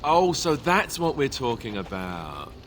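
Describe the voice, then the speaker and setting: An actor playing a young, gallant warrior companion in a fantasy video game, teasing and bashful with a wry mock-innocent delivery. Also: male voice, mid-range voice, sing-song, unhurried, a bit bright, a little hiss or hum. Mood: bashful candour.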